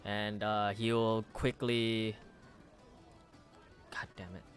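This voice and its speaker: A young male game master narrating a tabletop session in a casual, stumbling way, often joking at his own expense. His voice is smooth and clear, with quiet music playing behind. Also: lowish voice, flat delivery. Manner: rambling, halting, self-mocking. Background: soft music.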